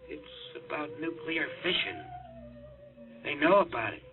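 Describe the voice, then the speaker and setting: An actor playing a frantic young rocket test pilot who pleads urgently, in a 1950s radio drama acting style, heard through a tinny old radio broadcast. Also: male voice, fairly high pitch, huge pitch swings, measured pace, creaky, soft background music, exaggerated voice. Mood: dazed.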